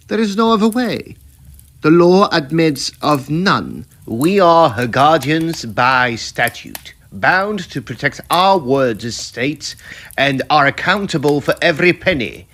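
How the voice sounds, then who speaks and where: A man's voice: a little high, big pitch swings, takes it slowly, fairly bright tone, full character voice. A man playing a greedy, scheming middle-aged Victorian guardian in old-time radio melodrama, with emphatic, formal period diction. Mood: urgent, cajoling.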